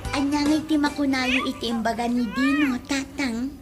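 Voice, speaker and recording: An actress playing a respectful young daughter in a comedy radio drama, with an Ilocano accent. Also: female voice, high-pitched, steady tone, normal speed, lightly acted. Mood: pleading. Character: respectful young daughter hoping to marry her sweetheart. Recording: loud music behind the voice.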